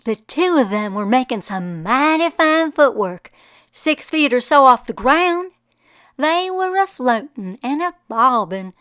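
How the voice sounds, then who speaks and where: A woman plays a folksy farmer looking back on a magical summer in a storybook. The voice is fairly high and smooth, in a close, dry recording. The telling is very slow, expressive and full of wonder. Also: no room sound, exaggerated voice. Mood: wonderstruck. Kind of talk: storybook first-person narration.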